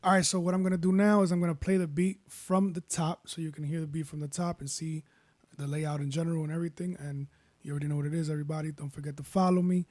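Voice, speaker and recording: A man with a baritone voice, casually walking viewers through making a beat and rambling as he goes. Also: fast. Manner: relaxed, rambling, self-correcting. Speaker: beat producer. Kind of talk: casual tutorial talk. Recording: faint music behind.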